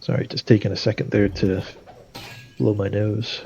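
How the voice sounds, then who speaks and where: A man streaming a video game, talking through his choices with plenty of "uh" and "um". His voice sounds nasal and stuffy from a cold. It sits fairly low with a gravelly edge, and a faint hiss runs behind him. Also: flat delivery, moderate pace, darkish tone, lo-fi sound. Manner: explains decisions thinking aloud, many fillers. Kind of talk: casual gameplay commentary.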